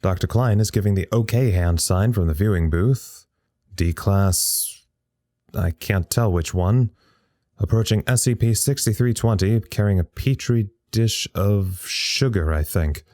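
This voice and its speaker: An actor playing a bored, sardonic communications officer at a secret lab, wry and rambling in naturalistic audio drama style. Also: male voice, fairly low pitch, unhurried, a little mellow, no echo, clean studio sound, lightly acted. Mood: focused reporting.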